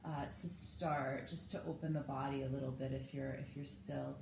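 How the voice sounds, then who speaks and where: A woman in her thirties with a low, slightly hoarse voice gently leads a home yoga session. She cues each inhale and exhale calmly and unhurriedly. The camera sits on the floor of an echoey living room, with a faint hiss behind her. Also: normal speed. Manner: calm, gentle cueing with breath. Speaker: yoga and meditation teacher. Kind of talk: guided yoga instruction.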